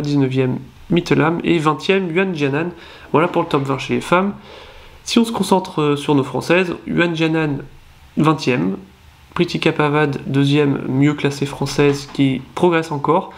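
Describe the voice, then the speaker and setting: Man with a cold, slightly high voice. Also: vocal fry. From France. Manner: hesitant, many fillers and self-corrections. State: nasal voice from a cold. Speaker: channel host. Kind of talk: casual ranking commentary.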